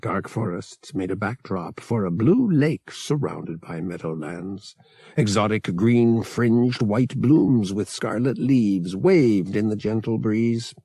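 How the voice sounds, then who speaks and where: Male sci-fi audiobook narrator, creaky and animated in pitch, measured storytelling, very dry close sound. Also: no room sound. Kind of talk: scripted narration of a science fiction novel.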